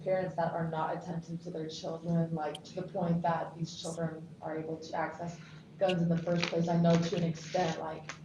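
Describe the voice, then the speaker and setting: Low, even-toned woman in a muffled room. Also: normal speed. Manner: conversational, frequent "like". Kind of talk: casual group discussion. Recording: dull, echoey, noisy room recording.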